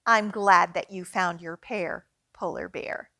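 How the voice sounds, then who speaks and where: A woman's voice: on the low side, slow, gravelly, sharp tone, no echo, studio quality, pitched below the performer's own voice, exaggerated voice. A woman voicing a helpful, curious little mouse from a children's picture book, with a bouncy, questioning delivery. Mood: pleased.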